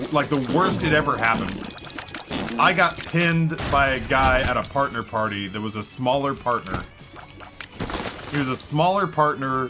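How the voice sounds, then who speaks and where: A middle-aged man streaming a video game, rambling on in casual, self-deprecating chat and never letting the talk stop. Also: mid-range voice. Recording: music playing clearly behind.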